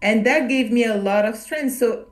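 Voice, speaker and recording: A woman from Morocco talking reflectively in a casual conversation, often pausing and restarting as she searches for words. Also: on the high side, reverberant.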